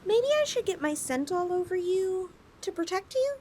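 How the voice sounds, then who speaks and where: A woman's voice: extremely high pitch, lively intonation, moderate pace, darkish tone, slight background noise. A woman voicing a possessive, flirtatious lamia in a roleplay ASMR style, coaxing her chosen mate with sweet condescension. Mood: teasing, ominous.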